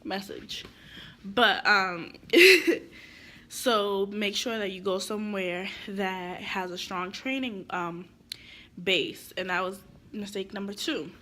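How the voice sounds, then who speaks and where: A woman's voice: medium pitch, takes it slowly, bright, forceful tone. A young woman giving casual real estate advice to camera, her voice stuffy from being sick, chatty and rambling with lots of fillers.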